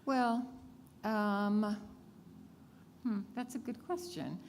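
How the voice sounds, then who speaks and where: A woman born in Switzerland whose first language is German, a singer-songwriter who leads a sing-along and then talks softly with fillers in a live radio session. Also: medium pitch, steady tone, drawn-out words, in a large hall, slight background noise. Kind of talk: live song with spoken interview. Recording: live radio studio with guitar.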